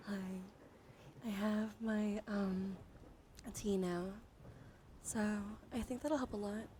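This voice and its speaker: Female ASMR streamer, mid-range voice, gentle and casual delivery that can dip toward whispering, noticeably noisy ambience. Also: mid-range voice, takes it slowly, long pauses. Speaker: live streamer. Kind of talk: casual ASMR livestream chat.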